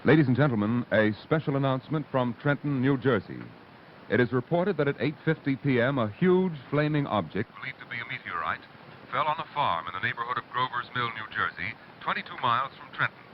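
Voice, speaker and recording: A man's voice: medium pitch, lively intonation, brisk, lightly acted. An actor playing a formal, urgent male radio announcer reading 1930s bulletins. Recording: noisy lo-fi old radio broadcast.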